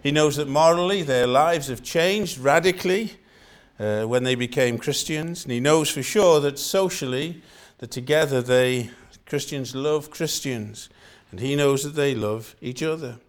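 A man's voice: mid-range voice, a little slow. An older man from Wales with a Welsh accent, preaching a sermon in an earnest style and repeating phrases for emphasis.

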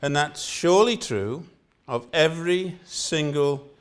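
A man's voice: fairly high pitch, moderate pace, clear, resonant tone. Older Welsh man preaching. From Wales. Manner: earnest, repeats phrases for emphasis. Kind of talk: sermon.

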